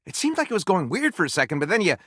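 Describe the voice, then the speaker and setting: A theatrical video game storyteller with a highish, widely swooping voice. He narrates in a mock-dramatic, literary way and adds eager comic commentary. The sound is dry and close. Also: sing-song, rapid-fire, bright, forceful tone, slightly rough, no room sound, exaggerated voice. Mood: enthusiastic. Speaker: video game character voice actor.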